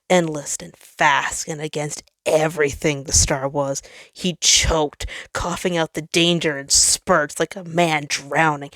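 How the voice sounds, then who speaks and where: A woman's voice: low voice, gravelly, no room sound. A woman reading a story aloud as narrator, in a dramatic scripted style. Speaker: fan fiction narrator.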